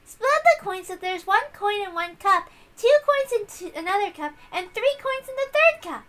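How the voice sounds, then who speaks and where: A woman voicing a smug, excitable young female guest in a visual novel, sarcastic and showy, with theatrical exclamations. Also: very high voice, normal speed, pitched above the performer's own voice, full character voice. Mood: gleeful, taunting. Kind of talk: hobby visual novel voice reading.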